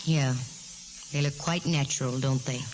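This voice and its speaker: Low-pitched american gal detective, wry n slangy, old hissy 1940s radio show. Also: female voice, steady tone, normal speed, darkish tone, low-quality recording. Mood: sardonic. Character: wisecracking, fearless female private eye. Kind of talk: 1940s radio detective drama acting, first-person narration and dialogue.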